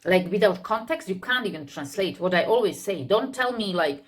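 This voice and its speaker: A woman teaching English in an unscripted video lesson, talking thoughtfully and often pausing to search for words. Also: medium pitch, expressive.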